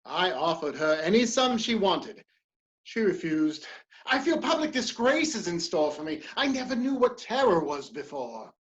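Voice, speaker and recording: A woman's voice: lowish voice, sing-song, moderate pace. A woman playing a proud, ambitious male politician in a dramatic play reading, speaking with theatrical emphasis and defiance. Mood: anxious, resolute.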